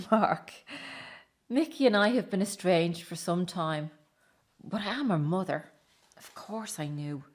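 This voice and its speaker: A middle-aged estranged wife and mother in a radio play acted by a woman, earnest and wounded. She speaks haltingly and with feeling, as if confessing, sounding remorseful and a little defensive. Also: fairly low pitch. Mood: concerned, gentle. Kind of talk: radio drama acting.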